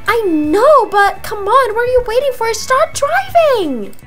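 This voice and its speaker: Casting: chatty teen schoolgirl acted by a young woman, very high voice, casual game roleplay delivery over background music. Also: big pitch swings, unhurried, exaggerated voice. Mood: hurried, urging. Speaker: roleplay video creator. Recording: music behind the voice.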